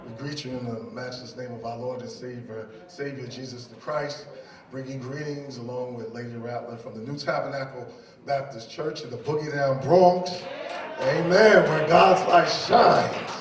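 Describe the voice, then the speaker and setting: A man's voice: medium pitch, steady tone, unhurried, a crowd in the background. A man from New York City preaching a sermon as pastor, repeating key phrases and speaking straight to the congregation. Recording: echoey hall, distant mic.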